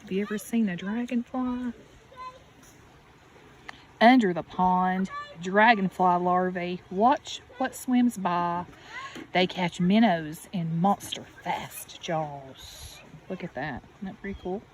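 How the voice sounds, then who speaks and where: Lady teacher doing a slow picture book read-aloud for kids, medium pitch, outside w birds and a bit of background noise. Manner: deliberate, expressive, asks the listeners questions. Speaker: elementary school teacher reading aloud. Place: outdoors beside a natural pond. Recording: steady outdoor background noise. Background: birds and outdoor ambience.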